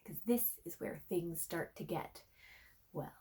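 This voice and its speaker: A woman's voice: fairly low pitch, takes it slowly, dark tone. A woman reading a fairy tale aloud as a storyteller, theatrical and playfully conspiratorial. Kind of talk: expressive scripted narration of a fairy tale.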